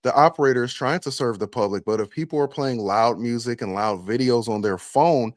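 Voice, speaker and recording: A man's voice: medium pitch, a little fast, no room sound. American man, casual commentary, dry close sound. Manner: opinionated, rhetorical questions, emphatic. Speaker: bus operator and video host.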